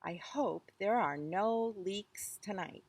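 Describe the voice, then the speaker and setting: A woman voicing a calm storybook father confiding in his son, measured and earnest. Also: medium pitch, breathy edge, dry recording.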